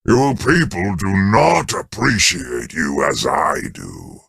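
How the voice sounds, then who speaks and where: An actor playing a huge, decadent alien emperor in a video game, pompous, taunting and grandiose, with a deep gravelly voice. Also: male voice, lively intonation, fairly bright tone, no echo, studio quality, heavily acted. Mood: gloating, seductive. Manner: pompous, theatrical, drawn-out.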